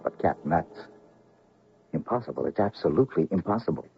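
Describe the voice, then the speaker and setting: An actor playing an earnest, insistent doctor and grown son in a radio drama, urgent and questioning. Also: male voice, deep voice, lively intonation, a little fast, vocal fry, loud music behind the voice. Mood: urgent disbelief. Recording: dull, muffled old radio recording.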